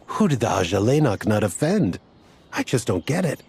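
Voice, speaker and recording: A man's voice: fairly low pitch, big pitch swings, measured pace, a little hiss or hum. An actor playing a weary man from a desert order who sighs and grumbles in exasperation. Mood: exasperated. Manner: sighing, exasperated. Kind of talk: game cutscene voice acting.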